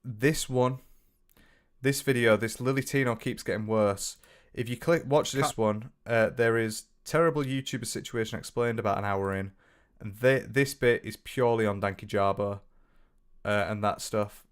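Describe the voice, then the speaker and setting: Young northern English male streamer, lowish voice, loose rambling chat with restarts. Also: moderate pace. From north of England. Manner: rambling, frequent restarts, swears casually. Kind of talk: casual livestream chat reading comments.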